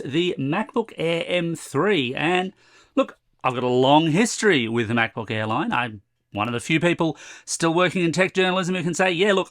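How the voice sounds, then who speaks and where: A slightly hoarse man in his forties giving a chatty, wry tech review to camera from a room at home. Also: high voice, a bit bright, no echo, studio quality. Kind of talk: casual product review to camera.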